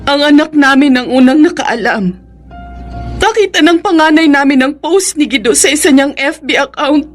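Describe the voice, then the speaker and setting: A regretful Filipino wife and mother in a radio drama. Her high voice swings widely as she pleads earnestly, full of hurt. Light music plays behind her. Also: expressive, moderate pace. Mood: heartbroken.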